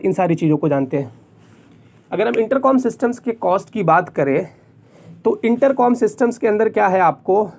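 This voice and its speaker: A man's voice: sits high, darkish tone. Indian man explaining step by step. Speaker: tech tutorial presenter. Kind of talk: explanatory tutorial, semi-scripted.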